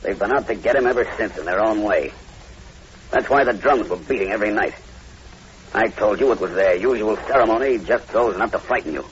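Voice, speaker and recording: A man's voice: lowish voice, fairly quick, natural voice. Man playing a grave, commanding plantation boss, muffled. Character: tense, commanding American plantation owner, grimly believing in zombies. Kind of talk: old radio horror drama acting. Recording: dull lo-fi old radio recording, hiss.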